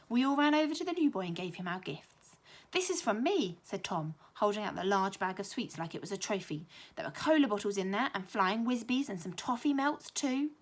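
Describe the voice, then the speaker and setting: Woman reading a storybook aloud. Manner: lively storybook reading, higher and louder for children's lines. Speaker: primary school teacher. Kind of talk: reading a children's novel aloud.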